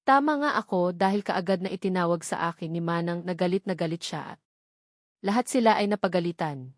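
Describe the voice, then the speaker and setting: A woman's voice: lowish voice, animated, fairly quick, dry recording, studio quality. A woman with a Filipino accent reading a scripted first-person romance novel as an audiobook narrator.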